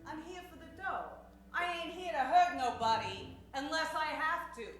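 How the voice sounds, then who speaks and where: A woman's voice: sits high, normal speed, a bit bright. A woman playing a tough, brash gunslinging cowgirl, brassy and slangy, in an improvised Western radio comedy. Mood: defiant. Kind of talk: improvised old-time radio comedy acting. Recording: echoey stage hall.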